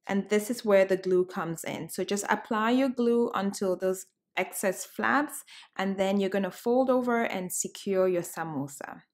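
Woman, 30s, from Cameroon, cooking host, chatty, upbeat, mid pitch, talks to viewers. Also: a little slow. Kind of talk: friendly cooking tutorial. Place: bright home room, indoors.

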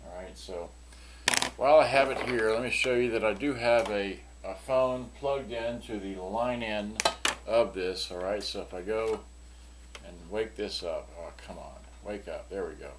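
A man's voice: fairly low pitch, a little slow. A man giving a casual, unscripted hands-on demonstration as a product reviewer, rambling and muttering to himself as he works. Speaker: product reviewer and seller demonstrating vintage electronics. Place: cluttered indoor workbench. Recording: noisy room recording with clicking sounds.

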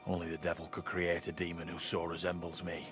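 Grave, low-voiced devout young colonial villager. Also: male voice, monotone, a little fast, fairly bright tone, soft background music. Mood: solemn, wary. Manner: formal, archaic, solemn speech. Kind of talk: video game cutscene drama.

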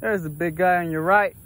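A man's voice: high voice, a bit bright. An American man chatting casually and informally as a fishing vlogger, out in the open air by a pond. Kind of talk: casual chat while fishing. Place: outdoors on a grassy pond bank.

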